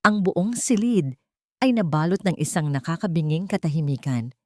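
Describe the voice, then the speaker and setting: A woman narrating a scripted romance drama with dramatic pauses. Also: fairly low pitch, lively intonation, dry recording, studio quality.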